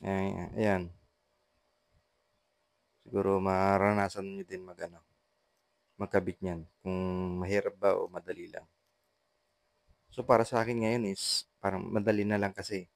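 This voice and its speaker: A Filipino man narrating a casual how-to vlog in a halting, repetitive way, with short trailing phrases. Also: deep voice, little pitch movement, gravelly, no echo. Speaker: vlogger, intercom installer.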